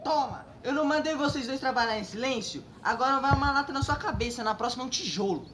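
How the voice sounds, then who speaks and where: Performer acting an angry, high-voiced homeowner. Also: fast talker, close to an everyday voice. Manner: scolding, menacing. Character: angry, threatening male homeowner demanding silence. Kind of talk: cartoon sketch comedy dialogue. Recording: noisy background, clicks.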